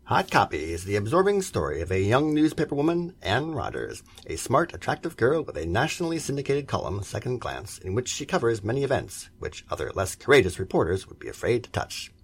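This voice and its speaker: A man announcing a drama episode in scripted 1940s radio style, with a gravelly voice. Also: medium pitch, huge pitch swings, brisk, hardly any pauses.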